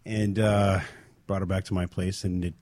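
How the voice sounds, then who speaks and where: A man's voice: deep voice, little pitch movement, normal speed, a little mellow, dry recording. A man co-hosting a radio show, telling stories casually in an easygoing, dry manner. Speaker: radio co-host. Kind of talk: casual talk show storytelling.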